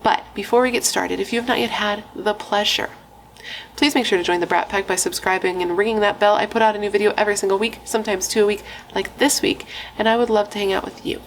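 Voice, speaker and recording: Woman, young adult, pregnant, chatty true crime host, playful asides, casual swearing, mid pitch, faint background hum. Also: steady tone, quick pace, low-quality recording.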